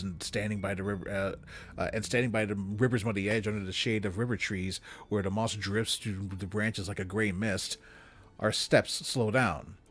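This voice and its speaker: A man giving an expressive literary reading of a story, with dramatic, deliberate phrasing. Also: lowish voice, slightly rough. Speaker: story reader. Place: small room with bookshelves, indoors. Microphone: close studio microphone on desk.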